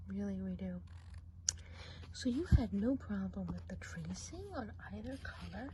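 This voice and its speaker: A woman playing a chatty, gossipy woodland witch in an ASMR role play, speaking in a soft, breathy whisper and rambling with fillers. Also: mid-range voice, lively intonation, measured pace, a little hiss or hum, natural voice. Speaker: eye examiner.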